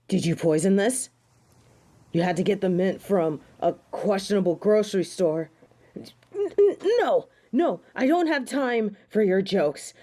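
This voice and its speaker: A woman's voice: mid-range voice, dark tone. A woman playing a snobbish, indignant fallen angel in a theatrical audio drama, speaking with haughty disdain. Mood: flustered, snobbish. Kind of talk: dramatic audio roleplay acting.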